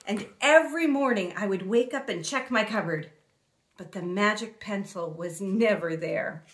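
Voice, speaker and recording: A woman reading a children's story aloud expressively, in a deliberate way with dramatic pauses. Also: medium pitch. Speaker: picture book reader.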